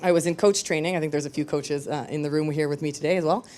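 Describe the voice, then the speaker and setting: Brief: woman storyteller on stage, slightly low voice, candid and reflective, halting now and then. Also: steady tone, darkish tone. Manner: candid, reflective, with frequent hesitations. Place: live storytelling event with audience.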